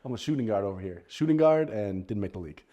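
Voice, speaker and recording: A man's voice: fairly low pitch, lively intonation, quick pace, mellow, highs cut off. A man co-hosting a podcast in a casual, bantering style, often joking with his co-host.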